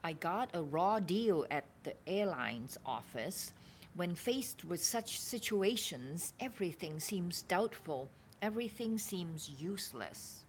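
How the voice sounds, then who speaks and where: A woman informally reading a spiritual dialogue aloud as a teacher, with a deliberate, expressive and at times halting delivery. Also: on the low side, low-quality recording. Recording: background room noise.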